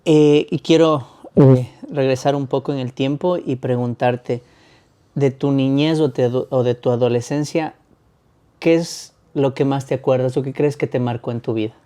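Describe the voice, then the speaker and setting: A male podcast host with a mellow, smooth and resonant voice. He leads the conversation in a friendly way, asking his guest to go back in time and reflect. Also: mid-range voice, fairly even intonation, moderate pace. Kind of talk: interview questions.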